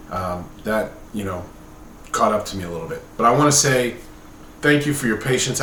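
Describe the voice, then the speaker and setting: A man's voice: on the low side, pushed and bright. A man in his late forties giving a casual personal update to camera as a vlogger, speaking candidly and a little hesitantly. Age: late 40s. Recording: roomy echo with background noise.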